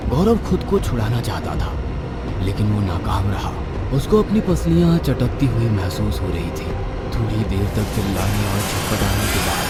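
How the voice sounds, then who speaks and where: Low, lively male horror narrator. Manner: expressive, suspenseful. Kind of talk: dramatic storytelling narration. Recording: background music.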